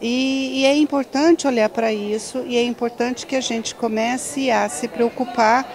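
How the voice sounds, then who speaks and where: A woman's voice: fairly high pitch, fairly even intonation, unhurried, darkish tone, a little hiss or hum. A middle-aged woman speaking earnestly in an interview, citing figures, into a handheld mic in a large sports hall. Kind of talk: interview answer. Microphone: handheld mic held up by a reporter. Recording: crowd murmur behind.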